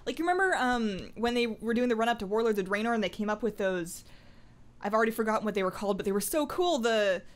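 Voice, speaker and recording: A woman's voice: a little high, lively intonation, fast. A woman chatting casually to camera as a gaming vlogger at her desk, with playful asides and self-interruptions. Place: indoors at a home desk.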